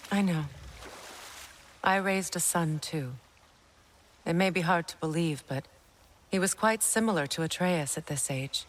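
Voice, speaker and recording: Woman acting a low-voiced, sorrowful regal goddess. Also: natural voice. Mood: wistful, sincere. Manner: measured, earnest, wistful. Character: sorrowful, regal middle-aged goddess and mother. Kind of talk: cinematic video game acting.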